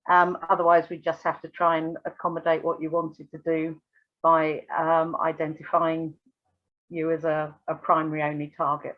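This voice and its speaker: A woman's voice: low voice, monotone, moderate pace, highs cut off. A British woman giving an unscripted, thorough technical explanation step by step, in a hesitant way, as a guest expert. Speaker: air traffic control and radio examiner, guest expert.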